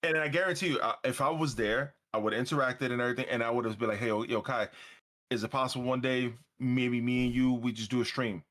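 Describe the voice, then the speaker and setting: A man's voice: medium pitch, fairly even intonation, fast, a bit bright. A man from Brooklyn with a Bronx-leaning New York accent, hosting a livestream in casual chat, rambling, repeating words and cursing freely. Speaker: livestream host.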